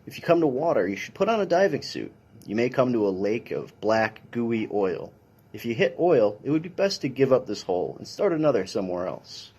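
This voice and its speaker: A man's voice: mid-range voice, sing-song, a little fast, a little mellow, husky, lo-fi sound. A man, a police officer, reading a children's science picture book aloud in an instructional way with brief dry asides.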